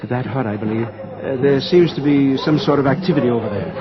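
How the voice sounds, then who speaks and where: A man's voice: mid-range voice, a little fast, natural voice. An actor playing a nervous, deferential young man with a hesitant, subdued manner in a radio drama. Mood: restrained. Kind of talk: old-time radio drama acting. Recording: dull, muffled old radio recording.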